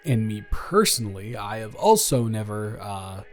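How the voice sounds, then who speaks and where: A man playing a nervous, earnest male best friend confessing a crush in a halting, rambling, improvised audio roleplay. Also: fairly low pitch, big pitch swings. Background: music.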